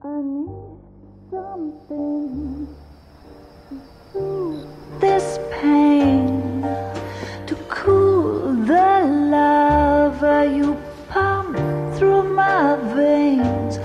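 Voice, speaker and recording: Woman singing slowly, high, over loud music. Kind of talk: slow torch-song singing. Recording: dull lo-fi sound under loud music. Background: musical accompaniment.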